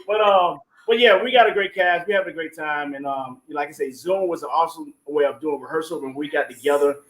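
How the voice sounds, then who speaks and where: High-voiced man giving informal, devout testimony. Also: brisk, fairly bright tone, rough recording. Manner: informal, emphatic, devout, punctuated with 'you know what I'm saying'. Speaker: stage play producer and guest. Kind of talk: testimonial talk on an online show.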